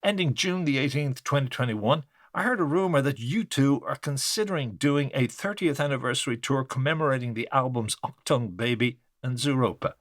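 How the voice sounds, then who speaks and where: A man's voice: mid-range voice, a little fast, hardly any pauses, fairly bright tone, dry recording, studio quality. A man hosting a podcast, reading a scripted introduction as a journalist and interviewer.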